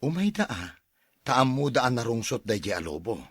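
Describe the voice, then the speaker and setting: A man's voice: mid-range voice, big pitch swings, a little fast, breathy edge, dry recording, clean studio sound. A man playing a cautioning father in a Filipino audio drama. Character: cautioning father of a young shepherd boy.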